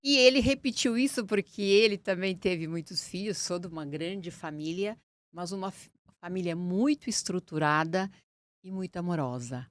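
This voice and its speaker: A middle-aged woman from Portugal, a lawyer speaking as an interview guest in an earnest, deliberate way. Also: fairly low pitch, no room sound. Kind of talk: interview conversation.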